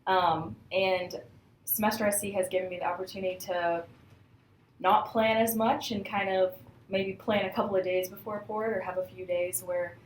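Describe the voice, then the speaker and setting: A woman's voice: mid-range voice, steady tone, moderate pace, slight background noise. A woman in her twenties from Kansas, a student, chatting with many likes and you knows. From Kansas, USA. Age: 20s. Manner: chatty, uses like and you know. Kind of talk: casual podcast interview. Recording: echoey room.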